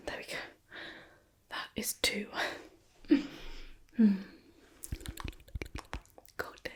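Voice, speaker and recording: A woman playing a jealous, clingy, teasing ex-girlfriend in an ASMR roleplay, in a soft breathy whisper close to the microphone. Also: medium pitch. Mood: coy, then soft lingering. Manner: slow, lingering, teasing delivery.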